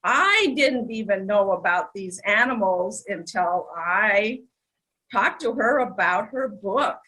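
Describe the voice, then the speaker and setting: A woman's voice: medium pitch, lively intonation, slow, bright, forceful tone, low-quality recording. A woman hosting a children's story show, exclamatory and speaking directly to young listeners.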